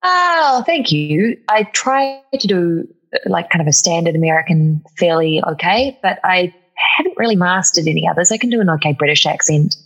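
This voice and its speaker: A young woman from New Zealand with a New Zealand accent, chatting as a guest in a relaxed interview, with a lilting upspeak. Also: fairly low pitch, moderate pace, slightly rough, lo-fi sound. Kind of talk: casual interview chat.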